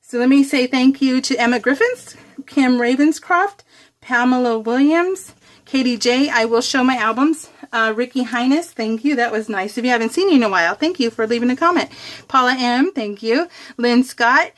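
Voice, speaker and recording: A woman's voice: sits high, normal speed, fairly bright tone. An American woman chatting casually to viewers while crafting, in a bubbly, giggly, rambling, gushing way. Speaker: craft vlogger hosting a scrapbook process video.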